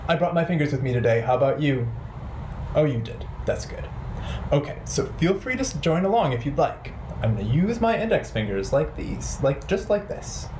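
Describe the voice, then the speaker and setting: A man's voice: fairly high pitch, sing-song, moderate pace, background noise. A man in his thirties hosting a children's storytime, talking playfully and directly to young kids. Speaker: children's storytime host at a library. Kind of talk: children's storytime with songs and rhymes. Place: small bright living room, indoors. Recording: roomy, echoing indoor sound. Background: ukulele music at times.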